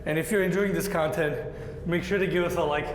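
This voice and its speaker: Excitable young man, native Turkish speaker. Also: fairly high pitch, fast, a little hiss or hum, low-quality recording. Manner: excitable, exclaims and laughs while crawling through tight spaces. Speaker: host. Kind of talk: casual vlog chat and voice-over narration. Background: background music.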